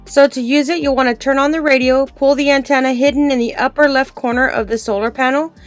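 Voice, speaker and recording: A woman's voice: high voice, fairly even intonation, fast talker, soft background music. A woman giving a casual product review and demonstration, explaining things informally and step by step.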